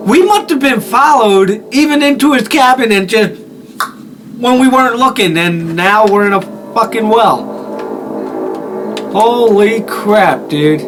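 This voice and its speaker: A man's voice: high-pitched, expressive, moderate pace, over music, rough recording. A man hosting a horror game video with informal, rambling commentary, swearing freely. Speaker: gaming video host.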